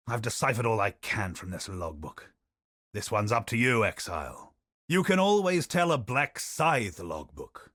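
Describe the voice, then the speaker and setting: An actor playing a bold, honor-bound adventurer in a video game, with theatrical battle cries. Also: male voice, mid-range voice, fairly bright tone, no echo, clean studio sound. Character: bold male knightly treasure-hunting adventurer.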